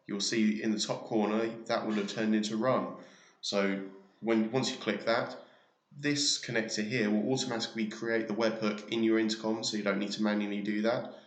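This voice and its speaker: A man presenting a software tutorial, narrating a screen demo step by step in an informal, explanatory way. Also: lowish voice. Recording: roomy, echoey sound.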